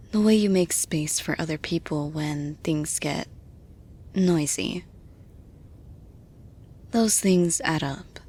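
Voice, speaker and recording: A nurturing female boss, played by a woman in an intimate audio roleplay. Her voice is breathy and on the low side, moving very slowly. She soothes in a maternal hush that often slips into a whisper. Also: exaggerated voice. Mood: soothing, tender. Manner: soothing, hushed, unhurried, often dropping to whispers. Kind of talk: intimate ASMR-style roleplay monologue.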